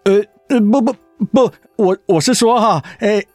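An actor playing a devoted, teasing middle-aged husband in a radio drama, with a Taiwanese accent and a coaxing way of talking that easily gets flustered. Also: male voice, high voice, measured pace, a little mellow, dry recording, soft background music. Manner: coaxing, stammering when cornered.

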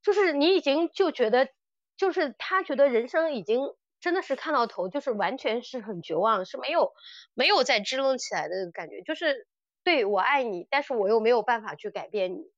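A middle-aged woman talks casually as a podcast host, with a fairly high voice. She is animated and opinionated in her delivery. The sound is very dry and a little dull on top. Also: brisk, no echo. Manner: animated and blunt, mimics characters' lines. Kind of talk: casual chat reviewing audio dramas.

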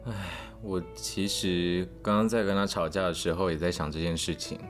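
A man's voice: deep voice, normal speed, faint music behind, close to an everyday voice. An actor playing a blunt, awkward young man dorm mate in a radio drama. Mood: troubled, hesitant. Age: young adult.

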